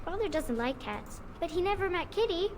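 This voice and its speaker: A very high-pitched, innocent little girl. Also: very animated, normal speed, slight background noise, heavily acted. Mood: chatty. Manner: sing-song, childlike, naive. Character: innocent, cheerful little girl enchanted by her cat. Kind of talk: video game character acting.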